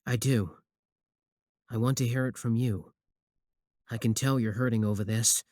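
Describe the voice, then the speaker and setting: A man's voice: mid-range voice, fairly even intonation, moderate pace, darkish tone, no echo, studio quality, natural voice. A young man acting a possessive, obsessive student admirer in a scripted ASMR roleplay, sweet yet menacing. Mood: tender, concerned.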